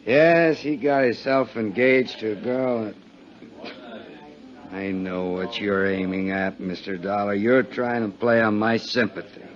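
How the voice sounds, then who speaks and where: A man acting out a scared merchant sailor in a fifties radio play. His voice sits fairly low and his talk is rough and unschooled, the wary speech of a hard-drinking deckhand. The recording is dull and muffled with age. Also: a little slow, close to an everyday voice. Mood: reluctant, troubled. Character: frightened, hard-drinking able seaman, ship's carpenter. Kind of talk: 1950s American radio drama acting.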